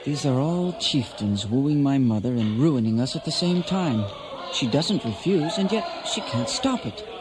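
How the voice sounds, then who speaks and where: An actor as Telemachus, slow-spoken resentful prince. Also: male voice, medium pitch, lively intonation, background noise, lightly acted. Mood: bitter resignation. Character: Telemachus, resentful, courteous, unsure young prince. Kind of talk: 1970s radio play acting.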